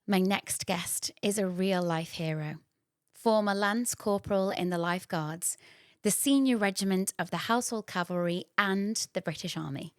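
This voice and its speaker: A woman's voice: mid-range voice, normal speed, clean tone, dry recording. Bubbly woman podcast host, dry close sound. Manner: bubbly and effusive, laughs often. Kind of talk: scripted intro, then casual interview chat.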